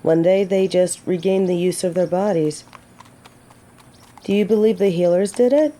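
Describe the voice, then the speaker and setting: A woman's voice: fairly low pitch, normal speed, dark tone, lightly acted. A woman playing a gallant, teasing yet earnest female knight in a romantic fantasy audio drama, with formal, old-fashioned phrasing. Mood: concerned, sincere.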